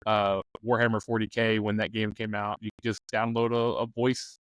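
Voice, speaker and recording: A man's voice: fairly low pitch, flat delivery, normal speed, clean tone, no room sound. A man talking casually in a loose, informal way, thinking aloud as he offers advice in a discussion. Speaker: discussion panelist on a game master advice show. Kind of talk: casual chat.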